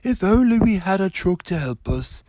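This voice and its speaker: A bright, eager little engine with a raised, bouncy voice that rises and falls a lot, always polite and earnest, as a male storyteller puts him on. It sounds like a friendly character from a children's storybook. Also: sits high, very animated, normal speed, no room sound, pitched above the performer's own voice.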